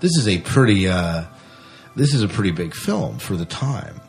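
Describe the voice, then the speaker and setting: A man with a fairly low voice hosts a podcast in a relaxed, conversational style. He chats informally, with some music behind him. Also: moderate pace, creaky. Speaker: podcast host. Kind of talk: casual conversational podcast chat.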